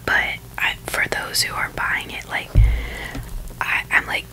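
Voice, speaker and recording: A woman's voice: slow, music in the background, poor audio. A young woman whispering a casual, rambling ASMR chat in a hesitant way, with phrases that trail off. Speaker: ASMR video creator.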